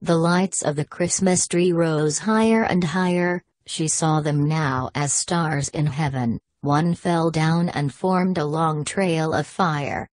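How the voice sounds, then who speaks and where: A woman reading a scripted children's fairy tale as a storyteller, measured and expressive. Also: low voice, dry recording.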